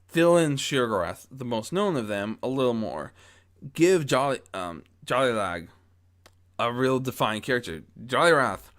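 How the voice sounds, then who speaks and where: A man talking casually and reflectively to his followers as an amateur story narrator, rambling and correcting himself as he goes, close on a boom-arm mic. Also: a bit bright. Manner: rambling, self-correcting, frequent false starts. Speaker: amateur audio story narrator and channel host. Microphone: close mic on boom arm with pop guard.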